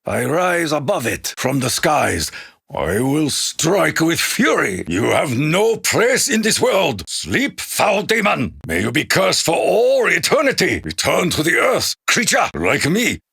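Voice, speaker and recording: An actor playing a solemn, proud Japanese warrior in a video game, with a gruff voice, a Japanese accent and grave, formal, archaic phrasing. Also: male voice, on the high side, wildly swooping pitch, takes it slowly, bright, forceful tone, dry recording, studio quality, heavily acted. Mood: wrathful. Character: solemn, proud Japanese warrior soldier, honor-bound and menacing. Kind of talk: video game character battle lines.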